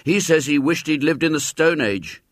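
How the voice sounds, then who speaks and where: A man's voice: a little high, lively intonation, normal speed, no room sound, studio quality, voice pushed up. A man voicing a wary, matter-of-fact schoolboy gang member who speaks plainly, in a children's storybook reading. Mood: reporting.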